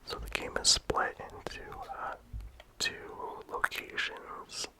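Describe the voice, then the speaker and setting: This is a whispered ASMR game review, in a steady voice with hardly any sound behind it. The reviewer rambles casually and leans on "like" between thoughts. The breathy hush never lifts into full voice. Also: slow. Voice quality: whispered throughout. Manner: casual rambling with many "like" fillers.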